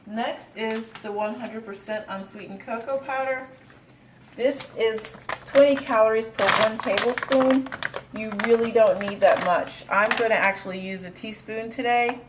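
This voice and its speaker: Relaxed woman demonstrating a recipe in her kitchen, loose and chatty, recorded from a distance. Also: fairly high pitch, normal speed, reverberant, background noise. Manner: chatty and relaxed, with filler words. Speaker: home cooking demonstrator. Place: home kitchen.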